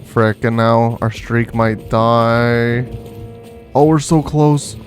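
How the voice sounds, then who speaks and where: A man's voice: medium pitch, steady tone, slow. Casual man commentating over game music. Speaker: streamer playing a video game.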